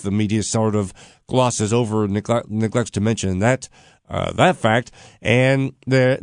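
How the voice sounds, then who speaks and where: A male talk radio host asks long, meandering questions and pauses often to search for words. His voice sits at a middling pitch. The studio sound is close and dry. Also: a little mellow, no echo. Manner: rambling, halting questions with fillers. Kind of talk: radio interview.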